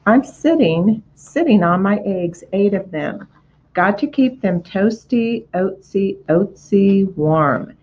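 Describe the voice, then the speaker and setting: A busy mother goose chatters and repeats herself as she guards her eggs, voiced by a woman reading a storybook. Her lively voice comes through a dull, lo-fi recording. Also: medium pitch, slow pace. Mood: fussy.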